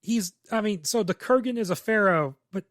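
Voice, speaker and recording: A man's voice: high-pitched, lively intonation, normal speed, fairly bright tone, no echo, clean studio sound. A man co-hosting a podcast, recapping a film script plot in detail and giving blunt, opinionated takes with casual swearing. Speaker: podcast co-host.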